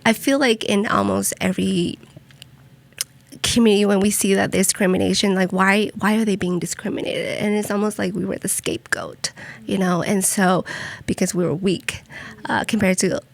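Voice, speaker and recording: A woman's voice: mid-range voice, steady tone, normal speed, gravelly. A young woman from Vietnam, a podcast guest telling personal stories in a reflective way, with many fillers and restarts. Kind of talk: personal storytelling in conversation.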